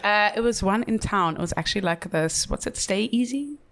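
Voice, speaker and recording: A woman's voice: medium pitch, animated, fairly quick, a bit bright, faint music behind. Playful woman guest. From South Africa. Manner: playful, laughs often. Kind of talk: casual podcast chat.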